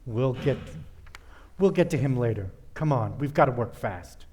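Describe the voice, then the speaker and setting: A man's voice: mid-range voice, expressive, moderate pace, darkish tone, slightly rough. A man playing a domineering, coldly polite murderer, sinister and commanding, in an old-time radio play. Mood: angry, commanding.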